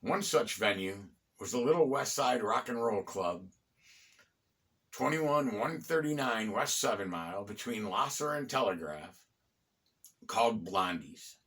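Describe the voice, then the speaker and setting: A middle-aged American man hosting a conversational, storytelling history talk, phrasing deliberately with pauses and dropping in wry asides. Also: fairly low pitch, expressive, fairly bright tone. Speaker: host and storyteller of a local history series. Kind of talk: conversational bedtime-story style history narration.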